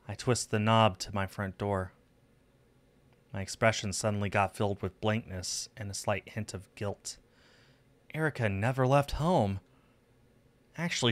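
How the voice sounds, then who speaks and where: A man's voice: mid-range voice, a bit bright. A man streaming a visual novel, reading the narration aloud and adding casual asides. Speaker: game streamer. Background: music.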